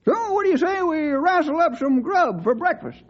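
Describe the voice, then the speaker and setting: An actor playing a cranky, folksy old ranch cook with an American accent and rustic cowboy slang in an old radio drama. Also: male voice, very high-pitched, lively intonation, moderate pace. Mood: folksy, grumbling. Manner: rustic cowboy slang, stammering when flustered. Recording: muffled old radio recording.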